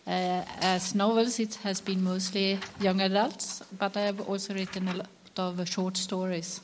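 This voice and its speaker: Woman author, pretty flat voice, a little hesitant, faint noise behind. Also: mid-range voice, unhurried. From Sweden. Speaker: panelist, author. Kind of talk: panel self-introduction.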